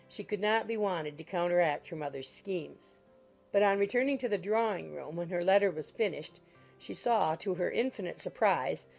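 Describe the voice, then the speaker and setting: A woman narrating a classic novel in a measured, literary storytelling manner. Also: lowish voice, darkish tone. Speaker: audiobook narrator. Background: background music.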